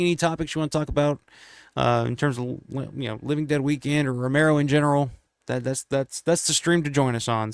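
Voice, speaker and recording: A man hosts a casual live stream while congested and losing his voice a little. He rambles, restarts and fills gaps with ums and likes. His voice is smooth and resonant, recorded dry and close. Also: a little high, no room sound. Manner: rambling, many fillers and restarts.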